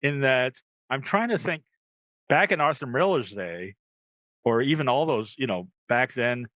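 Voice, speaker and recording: A man's voice: mid-range voice, expressive, unhurried, a bit bright, no echo. A man hosting a podcast with casual banter, musing aloud and tossing in joking asides. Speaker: podcast host.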